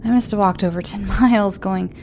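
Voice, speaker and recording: A woman voicing a shy, clingy teenage girl who stammers and speaks in breathy half-whispers. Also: mid-range voice, steady tone, fairly quick, a little mellow, slight background noise, natural voice. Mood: eager, worried. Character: timid sweet teenage girl, eager and clingy.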